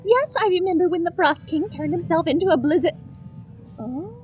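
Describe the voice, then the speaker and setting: A woman's voice: very high-pitched, expressive, normal speed, faint music behind. A woman playing a kindly, earnest sugar plum fairy in an audio drama, with a sweet manner. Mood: puzzled, earnest. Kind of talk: amateur audio drama acting.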